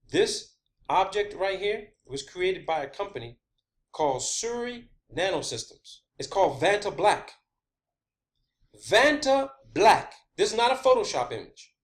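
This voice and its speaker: A man delivering an informal, rambling science-theory monologue, emphatic and sweary, often repeating his phrases. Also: fairly high pitch. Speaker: online video host.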